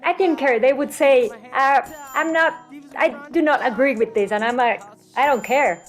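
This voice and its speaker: High-voiced middle-aged Mexican woman telling stories. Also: normal speed, soft background music. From Mexico City, Mexico. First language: Spanish. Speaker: interview guest, health coach. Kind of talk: casual personal storytelling.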